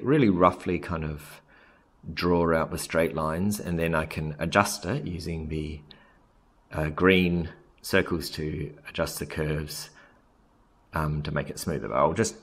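Low husky man narrating a tutorial. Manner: relaxed, thinking aloud with many ums and self-corrections. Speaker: software tutorial presenter. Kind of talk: casual screen-recording tutorial.

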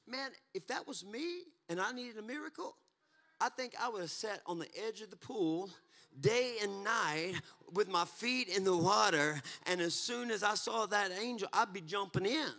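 A man, preaching a fervent sermon on a church stage into a handheld mic, building from talk to shouts. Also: high voice. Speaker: pastor. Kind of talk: energetic Pentecostal sermon. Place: church stage, mid-sized hall.

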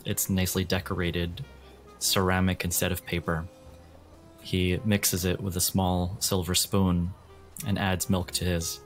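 A man working as a game master, giving atmospheric narration for a tabletop game over soft guitar music. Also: low-pitched, level tone, unhurried.

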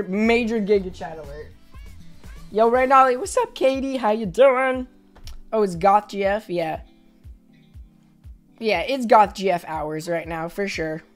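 Young woman, twenties, hoarse voice, bubbly and effusive, fairly high pitch, headset mic, small bedroom, faint music behind. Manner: bubbly, effusive, quick swings to excited squeals. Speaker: streamer. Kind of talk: casual live-stream chat thanking viewers. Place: small bedroom streaming room.

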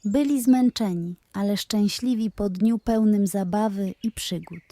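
A woman's voice: mid-range voice, a little slow, dark tone. A woman narrating a scripted bedtime story for children.

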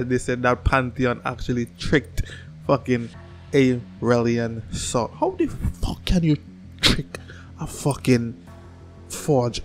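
Animated, foul-mouthed man hosting reactions. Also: medium pitch. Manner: animated, swearing constantly, slangy, repetitive. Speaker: reaction video host. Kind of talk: casual excited reaction commentary. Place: small furnished room at home. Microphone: close desk microphone on boom arm.

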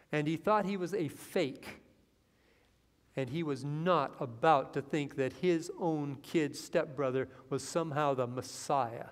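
A man in his fifties preaching a sermon from a church pulpit, earnest and rising into excitement as he reads scripture. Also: medium pitch. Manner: earnest preaching that swells with excitement, lines read from the Bible. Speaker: pastor. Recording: long hall reverb in a church.

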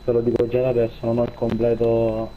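A man's voice: medium pitch, monotone, brisk, narrow, tinny audio, slight background noise. A man talking casually in online game roleplay over voice chat. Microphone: gaming voice chat.

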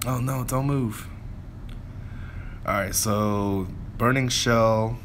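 A man's voice: mid-range voice, fairly even intonation, drawn-out words, a bit bright, slight background noise. A man commentating on gameplay in a casual way, thinking aloud and explaining as he goes.

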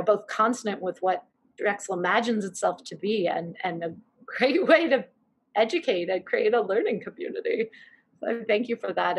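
A woman in her forties leading a reflective academic book discussion over a video call from her living room, speaking in a thoughtful, measured way. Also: medium pitch, husky, low-quality recording. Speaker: discussion facilitator. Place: living room with couch, indoors.

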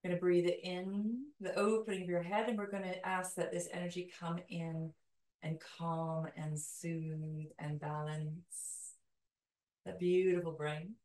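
A woman leading a guided meditation in a soothing, calming way, with slow, deliberate phrases and long pauses. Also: lowish voice. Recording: roomy echo, distant from mic.

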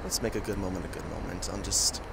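A man playing an injured, famous musician who is guarded, halting and sarcastic, in an audio roleplay spoken to the listener. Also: sits low, steady tone, vocal fry, noisy background. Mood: awkward, strained.

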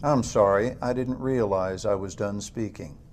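An actor playing a controlled government agent interrogator in a game, measured, pointed and coolly sardonic. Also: male voice, fairly low pitch, a little mellow, slight background noise, lightly acted. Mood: coolly pressing. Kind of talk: cinematic game drama acting.

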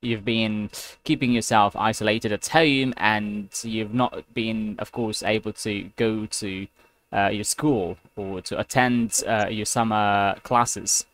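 A man's voice: lowish voice, steady tone, slow, fairly bright tone, low-quality recording. A young man teaching an online one-on-one English class over a headset mic, patiently rephrasing and spelling out words. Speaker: English tutor. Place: home room, video call. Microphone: gaming headset with boom mic.

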